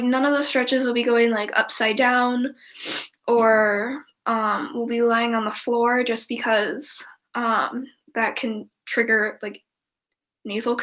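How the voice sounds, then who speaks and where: A woman's voice: a little high, fairly bright tone, roomy sound. A young woman with a stuffy, congested nose, chatting casually and rambling as she leads a stretching class from home. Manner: chatty and rambling, many ums, laughs easily. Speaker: stretching instructor. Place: home room, indoors.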